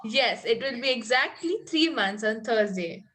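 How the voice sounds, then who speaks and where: Woman playing a prim, high-voiced romantic Victorian young lady. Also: expressive, a little slow, a bit bright, slight background noise. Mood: dreamy, matter-of-fact. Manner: prim, earnest, stumbles over the script. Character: romantic, fanciful Victorian young lady, coy and self-assured. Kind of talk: amateur table read of a stage comedy.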